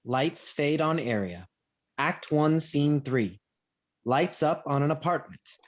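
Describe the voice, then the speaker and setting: A man's voice: a little high, animated, takes it slowly. A man reading stage directions as scripted narration for a play reading.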